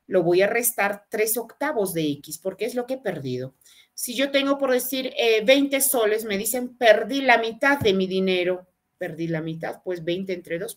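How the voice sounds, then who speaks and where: A patient woman walks students through math problems over a video call. She repeats key phrases slowly and leans on rhetorical questions to check understanding. Her voice sits at an ordinary middle pitch. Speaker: math teacher. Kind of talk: online class explanation. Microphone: webcam on video call.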